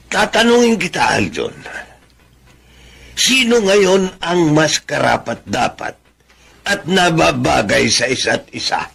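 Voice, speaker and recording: An actor playing a weary, regretful old peasant father with a creaky voice in a Filipino radio drama. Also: medium pitch, a bit bright, heavily acted. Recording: background noise, ambient sound.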